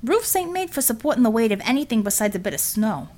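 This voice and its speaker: A plain-spoken American working man from an audiobook, acted out by a woman narrator. He is a heavy-set union contractor with folksy, blunt shop talk and an American accent. The pitch sits raised and bounces about expressively. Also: very animated, fast, a little mellow. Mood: confident.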